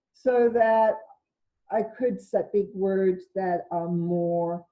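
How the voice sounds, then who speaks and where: A woman with a naturally loud voice speaks to a group over a video call, her sound a little dull and lo-fi. She talks informally and rambles, stopping to correct herself mid-phrase. Her pitch sits in an ordinary middle range. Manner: rambling, self-correcting delivery. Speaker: spiritual teacher hosting an online session. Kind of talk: informal talk to an online group.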